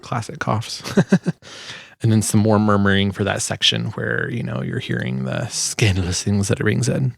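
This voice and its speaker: A man with a fairly low voice and plenty of vocal fry talks through his work as a sound designer. His delivery is casual and explanatory, like an informal tutorial. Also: sing-song, normal speed.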